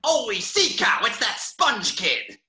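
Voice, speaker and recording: A grumpy cartoon sidekick, played by a man, with a very sharp, bright voice that jumps around in pitch. He is whiny and sarcastic, and sounds recorded in an echoey room. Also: huge pitch swings, slow, heavily acted. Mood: alarmed. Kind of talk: cartoon script table reading.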